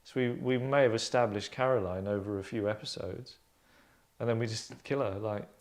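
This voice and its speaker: Rambling, deadpan man in his thirties. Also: fairly low pitch, moderate pace. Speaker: writer and host. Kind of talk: casual group discussion. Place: furnished indoor lounge.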